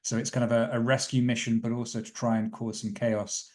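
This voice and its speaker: A man's voice: mid-range voice, level tone, brisk, a little mellow. A man improvising story narration as a player in a tabletop roleplaying game, wordy and thoughtful with a dryly theatrical turn.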